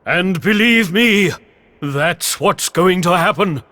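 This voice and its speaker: A man's voice: high-pitched, expressive, takes it slowly, sharp tone, exaggerated voice. A man voicing a showman circus ringmaster who announces in a grand, rousing way. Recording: game music behind.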